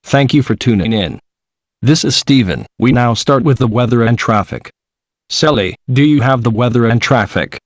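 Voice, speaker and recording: A man acting as a male morning news anchor, reading scripted headlines at a medium pitch. The sound is close and dry, and the delivery is businesslike. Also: lively intonation, moderate pace, dry recording, lightly acted.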